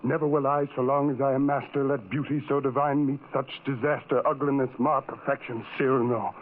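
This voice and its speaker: A man's voice: medium pitch, steady tone, fairly quick, close to an everyday voice. Through the narrow, faintly noisy sound of old radio comes a man acting a lovelorn, ugly outcast. He is anguished and full of self-loathing, delivering poetic lines in broken, theatrical phrases. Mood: bitter despair. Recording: muffled, noisy vintage radio recording.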